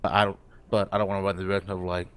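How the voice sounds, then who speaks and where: A man's voice: deep voice, flat delivery, fast talker, crowd noise. A man chatting casually as a game player, hesitant and rambling as he works toward his point. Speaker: role-play game player. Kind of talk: casual chat.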